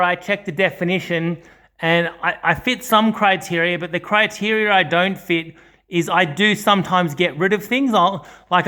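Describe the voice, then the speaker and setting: A man's voice: high voice, pushed and bright. A man giving a casual, unscripted video walkthrough in a hesitant, rambling way, often correcting himself. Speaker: audio channel host. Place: indoors, small home study.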